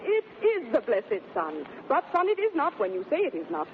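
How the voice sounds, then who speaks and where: Brief: a spirited wife, high and very animated, theatrical 1930s radio Shakespeare on a tinny recording. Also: female voice, normal speed. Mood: weary submission. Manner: theatrical, emphatic. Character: spirited, sharp-tongued wife learning to submit. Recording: narrow, noisy vintage 1930s radio recording.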